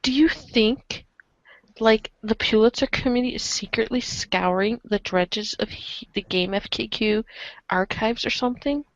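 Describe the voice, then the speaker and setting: A woman's voice: a little high, a little slow, dry recording, muffled recording. A woman, a readers' theater cast member, chatting casually. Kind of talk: casual chat.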